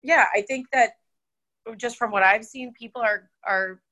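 A woman's voice: a little high, measured pace, bright, forceful tone. A young woman, a real estate agent, chatting casually and friendly on a podcast.

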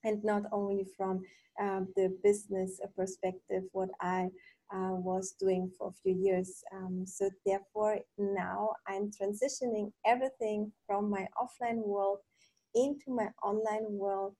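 A woman's voice: medium pitch, level tone, a little slow, warm tone, no room sound, low-quality recording. A woman in her thirties from Poland, answering interview questions hesitantly with many ums and restarts. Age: 30s. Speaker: podcast guest.

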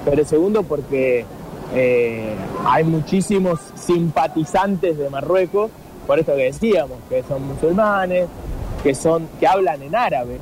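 A man with an Argentine accent reporting live in an informal, halting way, repeating himself as he goes. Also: fairly high pitch, darkish tone, rough recording. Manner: informal, colloquial, halting with repetitions. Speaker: on-location sports reporter. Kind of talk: live unscripted match-day report. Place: outdoors in a city by a metro. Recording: clear outdoor urban traffic noise.

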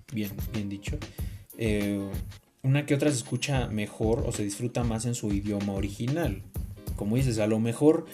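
A man with a Mexican accent talking casually in an opinionated, slangy, expressive way, as a podcast co-host. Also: mid-range voice.

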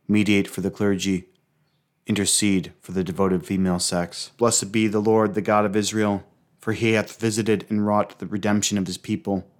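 A man reciting liturgical prayers, psalms and hymns in a solemn, measured, reverent manner. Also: sits low.

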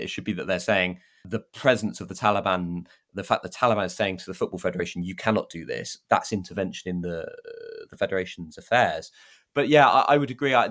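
Low-pitched man, conversational podcast co-host. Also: a bit bright. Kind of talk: casual football discussion.

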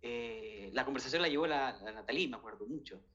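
A man's voice: mid-range voice, animated, a little fast, fairly bright tone. Chilean man in his thirties, hesitant guest recounting events, dull lo-fi recording. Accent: Chilean Spanish. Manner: hesitant, many fillers and restarts. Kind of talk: casual storytelling.

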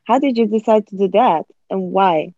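A woman hosting a podcast interview, asking thoughtful questions in a measured way. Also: mid-range voice, dark tone, dry recording, muffled recording. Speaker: podcast host. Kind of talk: interview chat.

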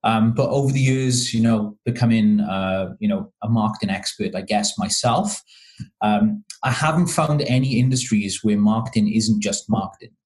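A man's voice: lowish voice, normal speed, a little mellow. A man from Wales, a podcast guest talking casually and hesitantly with many ums and restarts. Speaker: podcast guest, marketing consultant.

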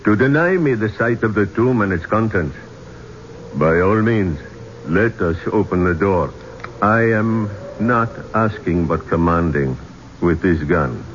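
An actor playing a stern, sardonic Egyptian police inspector, speaking formally and with command in a 1970s radio drama. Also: male voice, low-pitched, animated, measured pace. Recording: dull old radio recording, faint noise.

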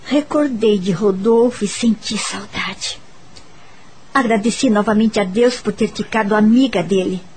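An actress with a Brazilian accent playing a gentle, grateful young woman in a radio soap opera, earnest and sentimental. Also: medium pitch, unhurried, slight background noise, lightly acted, low-quality recording. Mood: tender. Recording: background music under the voice.

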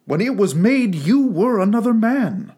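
A sorrowful young woman speaks gently but firmly, as a male storyteller puts her on. Her tone is soft and pleading, lifted higher than plain speech and swinging widely in pitch. The voice has a husky edge. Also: very animated, a little slow, exaggerated voice. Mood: earnest.

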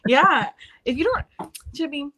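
A woman's voice: high-pitched, lively intonation, unhurried, fairly bright tone. A woman hosting a casual chat, effusive and full of fillers like "like" and "um". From Texas. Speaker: host.

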